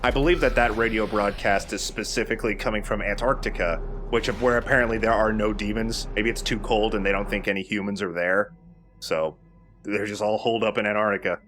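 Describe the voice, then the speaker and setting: A man's voice: lowish voice, fairly even intonation, a little fast, fairly bright tone, faint music behind. A man giving casual, enthusiastic gameplay commentary as a let's play host, with some casual swearing.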